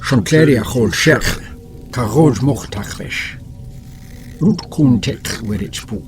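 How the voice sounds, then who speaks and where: A man acting in an audio drama, speaking with a very slow, weighty delivery. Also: medium pitch, expressive, faint music behind.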